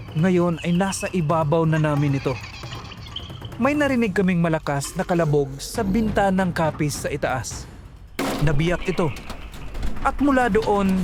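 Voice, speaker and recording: A man narrating a first-person horror story in a dramatic, suspenseful manner. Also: sits high.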